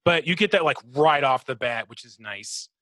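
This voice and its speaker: A man's voice: on the high side, animated, normal speed, sharp tone, no echo. A man co-hosting a podcast, chatting casually about games, swearing freely and imitating sound effects. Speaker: podcast co-host. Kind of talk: casual gaming chat.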